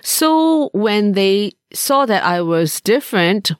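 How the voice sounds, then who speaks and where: A woman in her sixties from Malaysia, co-hosting a podcast and sharing her experiences in a measured, reflective way with frequent pauses. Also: mid-range voice, very animated, smooth, no echo, studio quality. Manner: measured, thoughtful, pauses with fillers. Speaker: podcast co-host.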